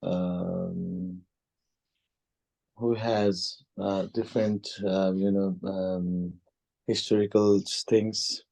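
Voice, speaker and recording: Nepali guy, slow hesitant answers, kinda low mellow voice, dry sound. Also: level tone, creaky, no room sound, poor audio. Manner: hesitant, many fillers and restarts. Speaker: test candidate. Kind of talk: mock speaking exam answers.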